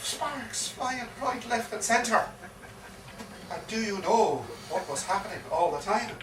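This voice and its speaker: A man's voice: sits high, lively intonation, measured pace. A man on stage playing a garrulous old soldier with an Irish accent, telling a war yarn with theatrical repetition. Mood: anguished, dramatic. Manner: theatrical, dramatic storytelling with repeated phrases. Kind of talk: live stage performance of a comic one-act play. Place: live performance in a pub. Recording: echoey, distant live pub recording, faint noise.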